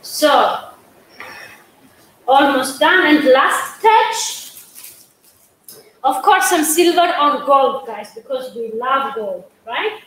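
A woman's voice: high voice, lively intonation, drawn-out words, long pauses, fairly bright tone. A middle-aged woman from Georgia with a Georgian accent, demonstrating a cake in chatty, broken English and coaxing her viewers along. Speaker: pastry chef. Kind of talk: live cooking demonstration. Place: large studio kitchen, indoors. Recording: echoey studio kitchen, faint hum.

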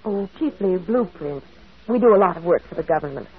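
An actress playing a polite, efficient office employee in a radio drama. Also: female voice, fairly low pitch, animated, brisk, breathy edge. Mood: courteous. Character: polite, helpful female drafting office employee. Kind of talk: old radio drama acting. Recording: muffled, tinny vintage radio broadcast.

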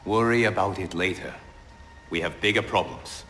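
Bright, forceful heroic warrior monk. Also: male voice, sits low, moderate pace, a little hiss or hum. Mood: firm. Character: steadfast heroic young male warrior monk. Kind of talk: dramatic video game acting. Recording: long hall reverb, faint music.

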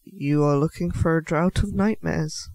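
A man's voice: on the high side, unhurried, vocal fry, darkish tone, no echo. A man playing a clumsy, nervous half-elf alchemist shopkeeper in a fantasy audio roleplay, stammering, apologetic and politely chatty. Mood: scattered, helpful. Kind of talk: scripted fantasy audio roleplay addressed to the listener.

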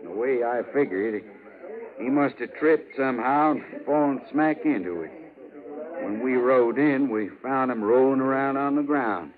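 A man's voice: medium pitch, moderate pace, a crowd in the background, lightly acted. A man with a rustic American accent playing a plain-spoken, gritty buffalo skinner in a radio western, talking in a folksy way. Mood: uneasy, confiding. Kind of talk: 1950s radio western acting. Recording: narrow-band 1950s radio, background noise.

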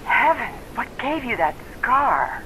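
An actor playing an eager expedition interviewer in a video game, heard through an old-recording filter. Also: male voice, very high-pitched, sing-song, slow, pushed and bright, heavily acted. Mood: curious, astonished. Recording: noisy old field recording playback.

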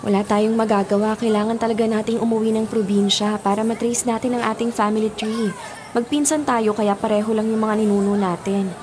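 A young Filipina actress playing a determined college student and persistent daughter in a radio drama. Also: female voice, fairly high pitch, steady tone, brisk, background noise, close to an everyday voice. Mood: practical, determined. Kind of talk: Tagalog radio drama acting. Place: small padded radio studio. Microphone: close studio condenser with pop filter.

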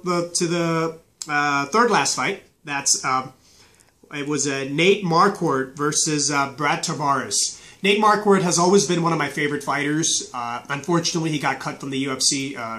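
A man's voice: medium pitch, pushed and bright. A man in his thirties, stuffy from a flu, casually reviewing fights with many fillers and restarts, in a small room on a webcam.

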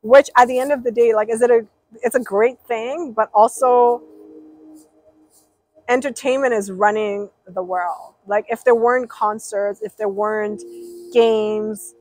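A woman's voice: on the high side, fairly even intonation, measured pace, a little mellow, dry recording, poor audio. Chatty young woman streaming live. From Persian. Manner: chatty, rambling, reads out viewer names. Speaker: livestreamer. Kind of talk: casual chat with viewers. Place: outdoors by a pool.

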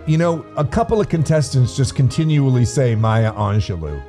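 A man's voice: medium pitch, expressive, normal speed, dark tone, soft background music. A man in his fifties or sixties bantering casually as a podcast co-host on a close studio mic. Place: small indoor home studio with bookshelves. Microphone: close studio mic on arm, headset monitoring.

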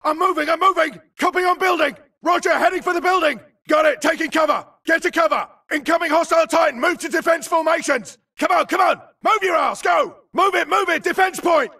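Casting: aggressive video game grunt, urgent clipped yells, harsh gravel and hard bright edge. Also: male voice, very high voice, brisk, few pauses, distant mic, full character voice. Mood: frantic. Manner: urgent, clipped battlefield yelling. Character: frantic, aggressive male grunt soldier in battle. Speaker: game voice actor. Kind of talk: shouted combat barks for a shooter game.

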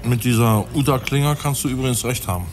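An actor in a radio play, playing a dry, methodical police detective who reasons in a wry, reflective way. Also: male voice, mid-range voice, fast, lightly acted. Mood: dry, pondering. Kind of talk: naturalistic German radio play acting.

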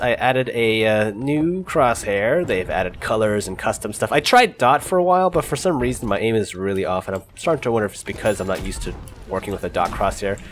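A man's voice: medium pitch, a bit bright, a little hiss or hum. A young man with a stuffy, congested voice, chatting casually and swearing now and then as he plays. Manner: chatty, laughing, swearing at close calls. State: congested from a cold. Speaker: gaming channel host. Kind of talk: casual gameplay commentary. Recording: music playing clearly underneath. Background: game music and sounds.

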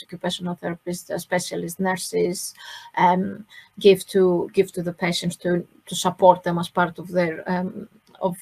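A woman with a Greek accent presenting her charity's services on a webinar over a video call, hesitant with many fillers and restarts. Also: mid-range voice.

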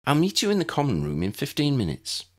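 A man's voice: mid-range voice, wildly swooping pitch, fast, a little mellow, pitched above the performer's own voice. A man voicing a breezy, worldly teenage girl at a wizard school in a storybook, dreamy and knowing. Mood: helpful.

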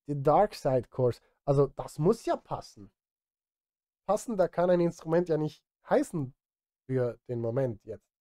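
A middle-aged man streams from his home studio with a mellow, slightly high voice. He thinks aloud in loose, casual chat, muttering and grumbling at himself. The sound is close and dry. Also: expressive, unhurried, dry recording. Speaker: streamer and film music composer. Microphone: close studio microphone.

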